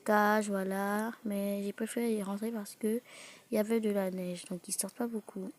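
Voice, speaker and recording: A young woman with a cold and a blocked nose, giving a casual, halting improvised tour commentary as a video host, often repeating words and exclaiming. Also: mid-range voice, steady tone. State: sick with a cold. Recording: noisy amateur recording with clicks.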